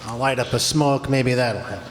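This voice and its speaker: An actor playing a jumpy, belligerent beat cop with tough, slangy grumbling in an old-time radio drama. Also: male voice, medium pitch, moderate pace, close to an everyday voice. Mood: restless, belligerent. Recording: noisy reverberant hall stage performance.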